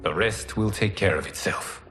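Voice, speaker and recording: Man as a wise calm mentor, low-pitched, measured, music behind. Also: natural voice. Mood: serene. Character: calm wise male elder god, serene mentor. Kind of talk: video game cutscene acting. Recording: music underneath.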